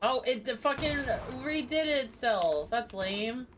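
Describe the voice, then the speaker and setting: A woman game streamer chatting and reacting casually, excitable and sweary. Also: fairly high pitch, slow, music in the background.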